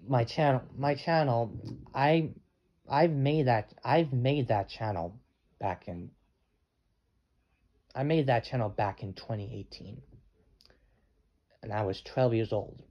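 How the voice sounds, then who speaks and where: An eighteen-year-old man talking in a rambling vlog, halting and restarting his sentences often. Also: medium pitch. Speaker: channel owner. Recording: dull lo-fi with faint noise.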